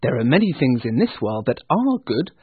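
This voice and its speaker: A man voicing a cheerful, earnest young gentleman in a storybook, hearty and pleading. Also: mid-range voice, sing-song, moderate pace, husky, dry recording. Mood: earnest, heartfelt. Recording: thin, phone-like narrow-band sound.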